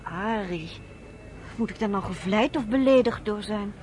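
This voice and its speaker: Actress as wary female outsider, questioning, hesitant, mid-pitched, radio play, faint noise behind the voice. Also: a little slow, a little mellow, close to an everyday voice. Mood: doubtful. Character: wary, questioning woman, an outsider being guided. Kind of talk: Dutch radio play acting.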